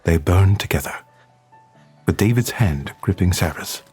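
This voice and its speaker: A man narrating an audio drama in a solemn, measured, scripted storytelling manner. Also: deep voice, animated, a little mellow, husky, soft background music, exaggerated voice.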